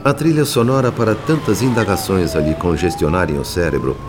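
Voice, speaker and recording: Deep-voiced man narrating radio drama. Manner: solemn, measured storytelling. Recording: music behind the voice.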